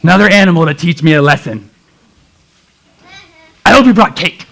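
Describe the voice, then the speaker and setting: A performer voicing a grumpy old tiger in a children's Christmas puppet show, gruff and gloating. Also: medium pitch, expressive, normal speed, a bit bright. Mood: sulky, scheming. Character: grumpy old male tiger who loves meanness.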